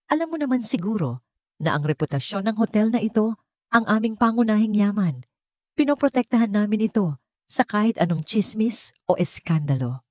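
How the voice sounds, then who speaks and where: A woman's voice: medium pitch, animated, normal speed, darkish tone, no echo, studio quality, pitched above the performer's own voice, natural voice. A woman voicing a strict male hotel general manager in an audio story, stern, formal and emotionless. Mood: cold, formal.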